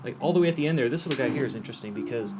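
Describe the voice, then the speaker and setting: A man's voice: mid-range voice, lively intonation, racing, background noise. A man giving a casual, hands-on explanation as an audio professional, speaking informally and often checking that the listener follows. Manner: informal, demonstrative, checks understanding. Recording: music playing behind the voice.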